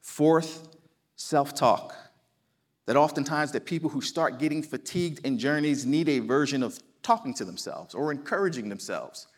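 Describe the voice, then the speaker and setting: A man in his forties with a South Jersey American accent, preaching a sermon in a conversational, folksy storytelling way. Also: mid-range voice. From New Jersey, United States. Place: church sanctuary.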